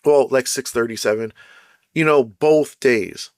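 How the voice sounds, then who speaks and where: A man hosting his own channel, ranting bluntly and emphatically, his voice going hoarse with frequent throat-clearing. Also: medium pitch, unhurried, dry recording, studio quality. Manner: blunt, emphatic, repeats points. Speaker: channel host. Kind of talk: casual ranting commentary.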